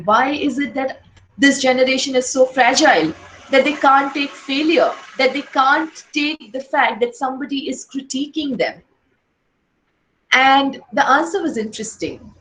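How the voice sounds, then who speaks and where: A woman educator with a loud voice, giving an earnest lecture to fellow teachers and posing rhetorical questions. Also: on the high side, a little hiss or hum. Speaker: educator giving a workshop talk. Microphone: online session. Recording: echoey room.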